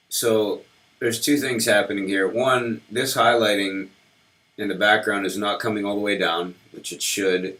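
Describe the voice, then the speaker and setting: A man walking viewers through his website code live, talking in halting starts while slightly sick and losing his voice. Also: on the low side, a bit bright, poor audio. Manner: halting, lots of ums and restarts while working. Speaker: web developer streaming his work. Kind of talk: casual live-coding walkthrough.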